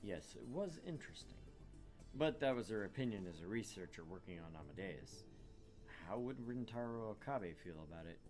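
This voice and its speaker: Low-voiced man narrating a visual novel. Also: expressive, normal speed, a little mellow, faint music behind. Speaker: narrator and gaming channel host.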